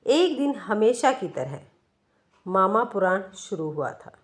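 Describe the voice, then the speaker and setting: Slow-paced woman narrating a story. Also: medium pitch, big pitch swings. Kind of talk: scripted first-person story narration.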